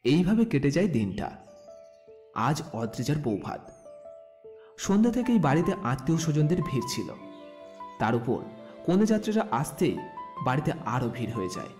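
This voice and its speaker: A man narrating a scripted romantic story with expressive storytelling delivery. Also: a little high. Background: background music.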